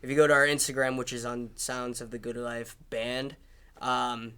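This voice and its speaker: A man in his twenties from Illinois, a guest musician speaking in a relaxed, easygoing way. He answers mostly in short replies with plenty of fillers. His voice is smooth and clear, at a middle pitch. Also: normal speed. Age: 20s. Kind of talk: casual interview answers.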